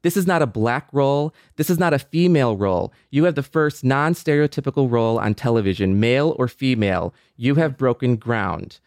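A man's voice: mid-range voice, animated, fairly quick, hardly any pauses, no room sound, studio quality. A middle-aged American man in a casual panel discussion, telling anecdotes as an expert guest. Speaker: podcast panelist and Star Trek expert.

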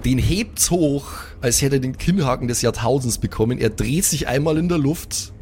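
A man's voice: medium pitch, moderate pace, a little mellow. A man with a Bavarian accent improvising colloquial, vivid narration as the game master of a tabletop game.

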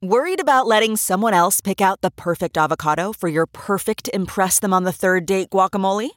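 A woman's voice: medium pitch, fairly quick, no room sound, soft background music. A woman reading a scripted ad in a playful, bouncy way. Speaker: ad announcer. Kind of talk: scripted ad read.

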